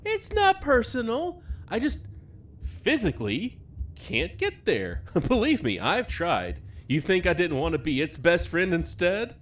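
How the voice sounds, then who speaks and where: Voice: mid-range voice, huge pitch swings, measured pace. An actor in an audio drama playing a mocking, jealous supernatural hanger-on with a flippant, teasing delivery. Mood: wry, bitter. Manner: flippant, teasing, theatrically swooping.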